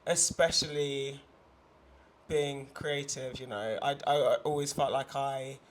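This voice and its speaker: A woman's voice: very deep voice, fairly even intonation, a little slow. A young woman from England, chatting casually as an interview guest in her studio, with lots of fillers. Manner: chatty, lots of fillers and restarts, laughs easily. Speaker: guest artist. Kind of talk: casual conversation.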